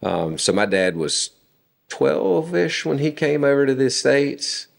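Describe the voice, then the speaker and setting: A man in his forties, a podcast guest, telling family stories in a relaxed conversational way with frequent fillers. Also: mid-range voice, takes it slowly, gravelly. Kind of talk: casual conversation.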